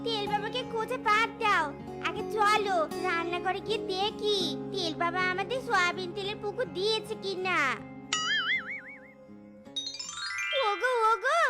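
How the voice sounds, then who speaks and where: A woman's voice: very high voice, moderate pace, loud music behind the voice. A woman voicing a greedy, bossy cartoon wife who scolds and insists. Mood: excited. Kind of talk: cartoon character acting.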